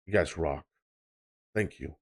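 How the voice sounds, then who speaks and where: A man's voice: rumbling low, takes it slowly, creaky. A young man from Sweden hosting a reaction video, talking casually and loosely with lots of fillers and repeated "of course".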